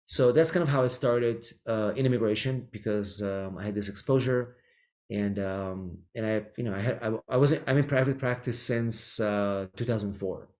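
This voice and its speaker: A man's voice: on the low side, moderate pace, a little mellow. A middle-aged man from Israel, an immigration lawyer, answering interview questions thoughtfully with frequent ums and restarts. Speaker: immigration attorney, guest.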